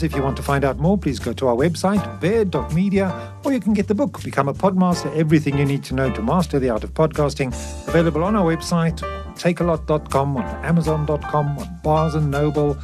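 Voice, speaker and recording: Casual podcast host guy, voice a bit high, music behind him. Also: brisk.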